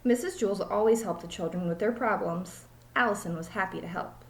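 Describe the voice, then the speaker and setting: A woman's voice: mid-range voice, fairly quick. A woman reads a children's storybook aloud like a teacher. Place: small home room, indoors. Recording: echoey room, webcam mic.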